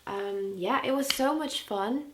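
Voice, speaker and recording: A woman's voice: fairly high pitch, unhurried, a little hiss or hum. A young woman with a Scouse accent, chatting casually and enthusiastically in a year-in-review vlog. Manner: chatty and enthusiastic, with frequent fillers. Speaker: vlogger and music interviewer.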